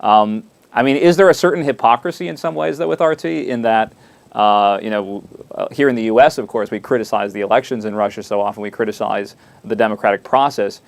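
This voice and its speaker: A man hosting an interview, asking rambling, halting questions with frequent restarts. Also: fairly low pitch, creaky. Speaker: interviewer, host.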